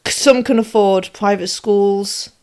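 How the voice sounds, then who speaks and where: A woman's voice: mid-range voice, steady tone, a little slow, a little mellow. Chatty woman explaining things. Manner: explains with lists and comparisons, asks if it makes sense. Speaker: podcast co-host. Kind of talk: casual explanatory chat.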